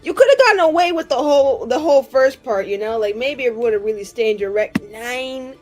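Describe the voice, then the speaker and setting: A woman's voice: high voice, expressive, fairly quick, a little mellow, faint music behind. A young woman chatting casually as a player in a tabletop roleplaying game. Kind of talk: casual group chat.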